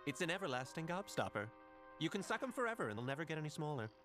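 Eccentric candy inventor, theatrical and coy, very lively intonation, music underneath. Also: male voice, a little high, rapid-fire. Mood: coy, secretive. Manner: theatrical, teasing, mock-earnest. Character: whimsical, coy, unflappable eccentric male candy factory owner. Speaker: factory owner. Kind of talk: animated film dialogue.

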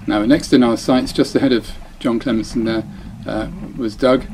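A man's voice: medium pitch, steady tone, normal speed, darkish tone, a little hiss or hum. A man narrating his own dinghy race, chatty and self-deprecating, often breaking off mid-phrase. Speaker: dinghy racing sailor. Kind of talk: voice-over commentary on race footage.

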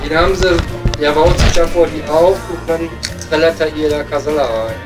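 Man playing a high-pitched Ruhr miner, grumbling. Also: steady tone, brisk, music in the background, natural voice. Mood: musing, chatty. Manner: colloquial, grumbling, mocking. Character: coal miner from the Ruhr, gossipy pub regular. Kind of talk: improvised comedy audio play.

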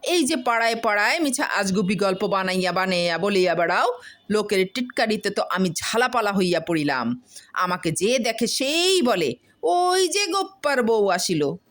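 A woman voicing a fed-up village wife who scolds her husband in a sharp-tongued, insistent way, in a storybook reading. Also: mid-range voice, sing-song, moderate pace, voice pushed up. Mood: scolding, exasperated.

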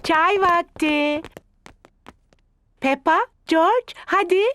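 A woman voicing a kindly, doting grandmother pig in a children's cartoon dub, with a gentle, sing-song manner. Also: very high voice, very slow, no echo, exaggerated voice. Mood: fond, cheerful.

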